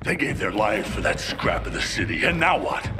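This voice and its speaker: A man's voice: lowish voice, sing-song, moderate pace. A man voicing an army lieutenant who is angry, sarcastic and curses a lot. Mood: furious. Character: bitter male lieutenant, furious, grieving. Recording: reverberant cutscene with music.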